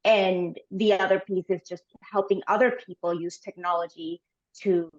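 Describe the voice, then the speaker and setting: A woman's voice: fairly low pitch, fairly even intonation, normal speed. A woman from Ecuador, speaking enthusiastically and articulately on a panel. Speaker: panelist, data analyst. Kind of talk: panel self-introduction. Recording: slightly dull lo-fi sound.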